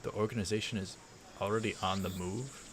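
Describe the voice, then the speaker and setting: Man reading as grave, low-voiced young conspirator. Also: steady tone, fairly quick, background noise, close to an everyday voice. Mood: conspiratorial. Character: secretive, self-dramatizing young male would-be agent.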